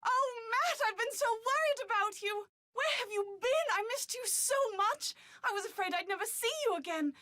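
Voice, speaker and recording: A woman's voice: extremely high pitch, expressive, moderate pace, hardly any pauses, pushed and bright, no echo, studio quality, exaggerated voice. A woman playing a lovestruck young bride-to-be in a video game, effusive and melodramatic. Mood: lovestruck, relieved.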